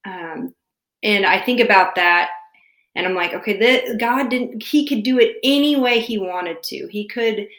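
A woman's voice: mid-range voice, a bit bright. Reflective woman in her thirties, echoey room. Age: 30s. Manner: reflective, searching, halting. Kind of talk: casual faith conversation.

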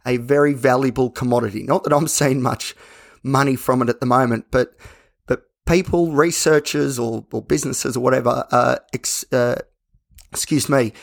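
An Australian man talks candidly as a solo podcast host. His voice is a bit croaky with plenty of fry, at an average pitch. He rambles conversationally and often corrects himself. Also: fairly even intonation, normal speed. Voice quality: slightly croaky.

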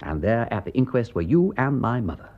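An actor playing an aristocratic English gentleman detective in a radio drama, with an upper-class English accent and a witty, breezy, urbane manner. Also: medium pitch, sing-song, fairly quick, muffled recording. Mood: animated explaining. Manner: aristocratic, breezy, clipped period phrasing.

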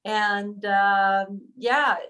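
A woman's voice: medium pitch, fairly even intonation, extremely slow, fairly bright tone. A woman from Sweden, a podcast guest telling her story conversationally and searching for words. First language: Swedish.

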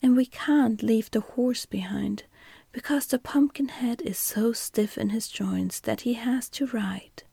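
A woman's voice: on the high side, unhurried, creaky, husky, no echo, exaggerated voice. A woman voicing a practical young boy in a whispered bedtime storybook reading. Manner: whispered, soft.

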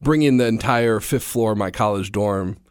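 A man's voice: lowish voice, moderate pace, clear, resonant tone, dry recording, clean studio sound. A man, a stand-up comedian, chatting in an interview with a slightly scratchy voice, self-deprecating and often laughing. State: slightly scratchy voice after a long day. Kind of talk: casual interview chat.

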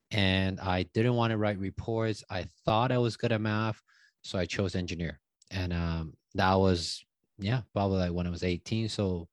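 A man, a guest telling his story in a casual interview, loose and halting with many fillers. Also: sits low, no echo. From Taiwan. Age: 30s. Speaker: guest, stock options coach.

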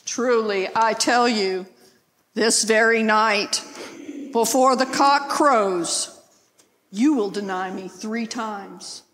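Woman as grave Passion figure, high-pitched, slow measured delivery, echoey church hall. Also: fairly bright tone, slight background noise. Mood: solemn. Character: grave, solemn Jesus in a Passion reading. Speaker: scripture reader, church member.

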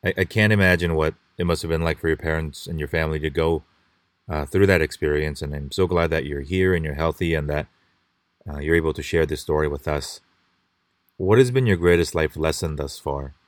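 Low-voiced guy hosting a podcast, polite and measured, dry mic. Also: no room sound. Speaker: podcast host. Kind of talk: scripted podcast intro and interview.

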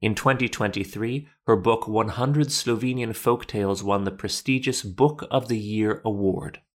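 A man hosting a podcast, reading scripted documentary narration in a measured, literate, explanatory way. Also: on the low side.

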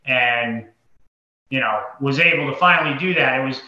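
A man's voice: medium pitch, moderate pace, pushed and bright. A man with a Massachusetts accent telling his story casually as an interview guest, in a colloquial, folksy way. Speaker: entrepreneur guest. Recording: dull lo-fi, roomy echo.

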